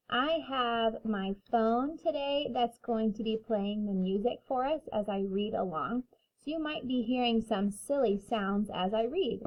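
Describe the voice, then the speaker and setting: Animated, high-voiced woman reading a picture book. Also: muffled recording. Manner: sing-song, drawn-out playful delivery. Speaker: kindergarten teacher reading to her class. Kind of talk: children's picture-book read-aloud.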